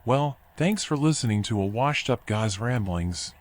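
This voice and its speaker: An actor playing a washed-up animal drifter in a noir video game, folksy, rambling and resigned. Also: male voice, medium pitch, expressive, moderate pace, close to an everyday voice. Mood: wry, resigned. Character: washed-up male drifter animal, philosophical, wry, weary. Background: music.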